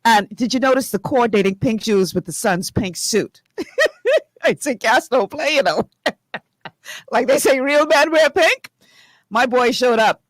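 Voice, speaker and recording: Animated Bahamian radio host, dry studio sound. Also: unhurried, pauses often, dry recording, rough recording. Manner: animated, laughing, swings into high excited tones. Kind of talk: casual radio talk drifting into preaching.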